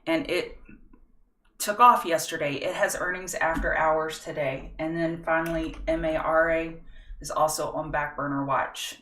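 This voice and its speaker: A woman's voice: low voice, a bit bright, far from the mic. A middle-aged woman hosts a live stock market stream, chatting with viewers in a folksy way. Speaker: stock market livestream host. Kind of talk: casual market analysis livestream. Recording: roomy echo, set back from the mic.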